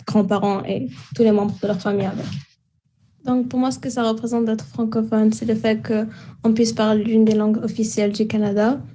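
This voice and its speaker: A teenage girl, a high school student, speaking in a steady, measured way with occasional fillers. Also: slight background noise. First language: French and Arabic household. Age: teens. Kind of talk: spoken testimony.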